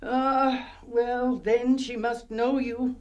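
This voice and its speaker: A woman's voice: on the high side, little pitch movement, drawn-out words, dull audio. An actress playing a worn, tender, worried old village mother in a radio play, with a coaxing, motherly manner. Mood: puzzled.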